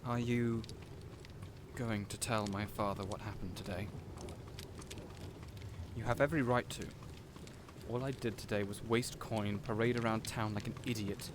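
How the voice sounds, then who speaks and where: A man's voice: high-pitched, sing-song, measured pace, noisy background. A man playing a haughty spoiled young nobleman. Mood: subdued. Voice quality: theatrical, sneering and sarcastic. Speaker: voice actor in an audio roleplay. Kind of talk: audio roleplay drama.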